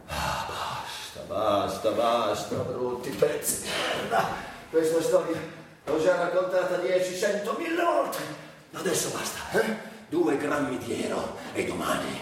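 A man's voice: fairly high pitch, huge pitch swings, a little slow. A bitter, tormented male addict worn down by illness. His theatrical delivery is sardonic and swings widely between murmurs and outbursts. The voice is heard from a distance in a very echoey space. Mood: exasperated. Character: bitter, tormented, ailing male drug addict with muscular dystrophy. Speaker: stage actor. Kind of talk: theatrical dramatic monologue. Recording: very echoey, distant, faintly noisy stage recording.

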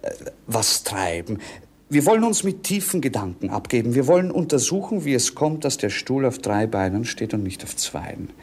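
An actor in a 1950s German radio drama playing a melancholy, world-weary young prince with a lyrical, theatrical delivery. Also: male voice, medium pitch, very animated, normal speed, a little mellow, slightly rough. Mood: restless.

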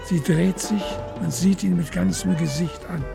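Woman narrating a radio drama, creaky voice, music underneath. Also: on the low side, steady tone, normal speed. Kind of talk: scripted literary narration in a radio play.

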